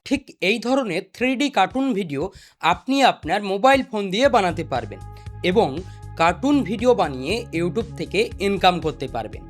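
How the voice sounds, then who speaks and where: High-pitched, animated young male tutorial presenter. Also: a little fast, soft background music. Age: young adult. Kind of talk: step-by-step spoken tutorial. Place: small indoor desk studio. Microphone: desk microphone.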